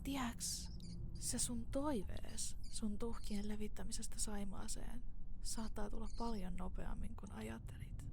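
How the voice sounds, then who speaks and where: A woman playing a menacing, dominant mafia boss in an audio roleplay, speaking slowly and breathily in a condescending, teasing way. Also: mid-range voice, expressive, noisy background. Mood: cold, threatening. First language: Finnish. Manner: slow, deliberate, condescending, breathy near-whisper. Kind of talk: intimate dramatic audio roleplay.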